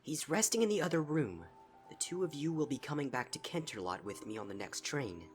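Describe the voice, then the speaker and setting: Man voicing a soft-spoken, regal mare princess, pitched up. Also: big pitch swings, a little fast, soft background music. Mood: reassuring. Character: gentle regal old mare princess, calm and regretful. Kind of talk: character voice in fan fiction reading.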